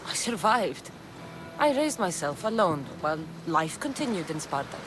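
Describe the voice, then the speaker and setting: A hardened female Greek mercenary in a game drama. She talks bluntly and firmly, her pitch moving freely, with music underneath. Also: big pitch swings, moderate pace. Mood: guarded, bitter. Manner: blunt, firm. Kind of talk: video game dramatic dialogue. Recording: music behind the voice.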